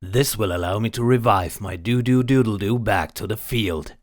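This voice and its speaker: Man acting as low-voiced, defiant hero duelist. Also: moderate pace, very noisy, close to an everyday voice. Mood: defiant, cool. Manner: defiant, formal, theatrical. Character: confident, grandiose young hero card duelist. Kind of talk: fan audio drama acting.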